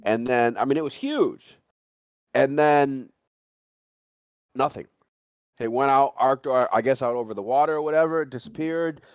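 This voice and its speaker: A man's voice: mid-range voice, moderate pace, pauses often, clean tone, dry recording. A man chatting casually and speculating in a rambling, repetitive way, with some swearing. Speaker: livestream talk participant. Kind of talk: casual chat, animated storytelling and speculation.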